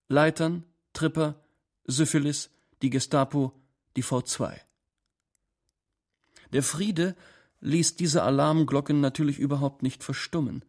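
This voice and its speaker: A man reading a first-person short story dramatically, with deliberate phrasing and shifts in volume. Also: a little high, darkish tone.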